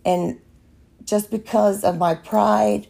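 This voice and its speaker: A woman with a raspy voice from allergies hosts her own podcast in an unscripted devotional monologue. She talks slowly and earnestly, with a mellow, gravelly tone. She often circles back and restarts her phrases as she encourages listeners. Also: mid-range voice, steady tone. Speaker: podcast host.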